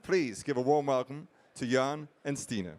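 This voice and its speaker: Guy hosting an event, rushes the intro, jokes at himself, bit of hiss behind. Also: medium pitch, a little mellow. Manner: hurried, self-deprecating introduction. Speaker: event host introducing speakers. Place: conference stage.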